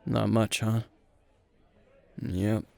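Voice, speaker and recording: A man acts out a weary hero teacher several drinks in, speaking low with a heavy gravelly fry. He is gruff and deadpan, yet dryly flirtatious, murmuring close to the listener in an anime-style ASMR roleplay. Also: full character voice. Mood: dry, teasing. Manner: low-key, dry, deadpan, unhurried. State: playing tipsy.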